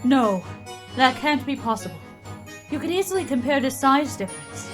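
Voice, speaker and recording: A woman's voice: sits high, sing-song, normal speed, soft background music. A woman playing a firm, assured witness in a courtroom audio drama, articulate and insistent.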